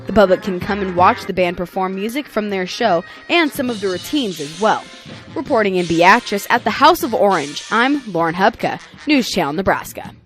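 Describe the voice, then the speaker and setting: A woman news reporter reading a scripted report in a clear, steady delivery. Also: mid-range voice, moderate pace. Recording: music playing behind.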